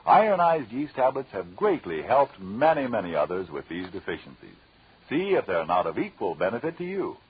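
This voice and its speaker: Animated low-voiced male radio announcer. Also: normal speed. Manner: emphatic scripted salesman delivery. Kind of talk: 1940s radio advert announcing. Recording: muffled 1940s radio recording.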